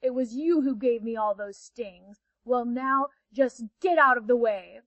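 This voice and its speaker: A woman voicing an angry, wounded male panther who speaks loud and threatening. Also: fairly high pitch, a little slow, no echo. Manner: loud, growling, forceful. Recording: dull, dry audio.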